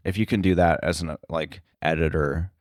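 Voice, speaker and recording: Low-voiced man, halting casual talk. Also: steady tone, no echo, clean studio sound. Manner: halting, filler-heavy, circling back to rephrase. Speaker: interview guest, sound effects editor.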